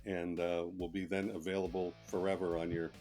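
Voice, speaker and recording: A man's voice: low-pitched, little pitch movement, brisk. A man talking casually as a podcast guest, in a conversational way. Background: plucked guitar music.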